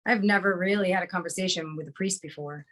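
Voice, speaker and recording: A woman's voice: on the low side, quick pace, a bit bright, lightly acted. A woman playing a kindly, apologetic middle-aged prostitute in a screenplay table read, tentative and polite. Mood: curious, apologetic.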